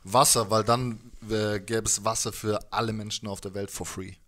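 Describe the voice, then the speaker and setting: A man's voice: on the low side, steady tone, normal speed, fairly bright tone. A man in his thirties chats as a musician guest in an interview, colloquial and self-deprecating, speaking into a close handheld mic. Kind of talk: casual interview chat. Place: small music room indoors. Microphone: handheld microphone held close.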